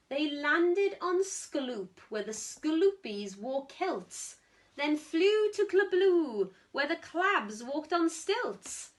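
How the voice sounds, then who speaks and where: Woman, children's storyteller, very animated intonation, theatrical emphasis, unhurried rhyming read-aloud. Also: high-pitched. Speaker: storytime reader, teacher.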